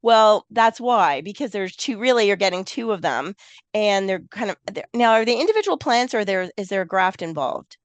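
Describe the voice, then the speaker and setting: A woman with a froggy, hoarse voice from a cold, hosting a casual gardening podcast and thinking aloud as she talks. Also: medium pitch, expressive, moderate pace, no room sound. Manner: chatty, thinks aloud with restarts. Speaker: podcast host, garden designer.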